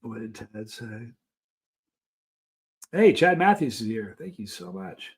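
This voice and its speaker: A middle-aged man hosting a live stream, chatting casually and telling anecdotes in an animated way, acting out the things people said. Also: fairly low pitch, slow pace, husky. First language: English. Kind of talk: casual chat answering live viewers, telling travel anecdotes.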